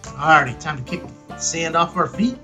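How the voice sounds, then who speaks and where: A man's voice: a little high, animated, normal speed, bright, forceful tone, faint music behind. A man hosting a casual hands-on product review, talking in a folksy, emphatic way with short punchy phrases. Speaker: host of a ham radio review show.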